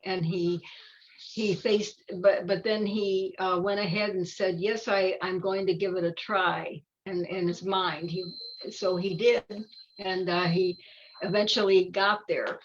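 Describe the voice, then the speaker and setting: An older woman chatting casually about a children's book, haltingly, often searching for her words. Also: medium pitch, fairly even intonation, dull audio. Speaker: volunteer storybook reader.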